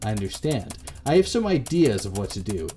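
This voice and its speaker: A man voicing a calm, pragmatic advisor on a phone call in a visual novel, measured and matter-of-fact. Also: fairly low pitch, a little hiss or hum. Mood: measured, reassuring.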